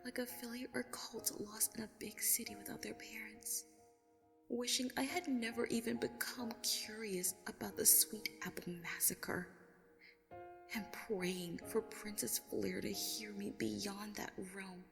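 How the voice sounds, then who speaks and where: A woman playing a traumatized, grief-stricken young female pony recounting horror in a breathy, anguished first-person narration. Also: mid-range voice, fairly even intonation, measured pace. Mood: haunted, grieving. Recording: long reverb, music underneath. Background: music.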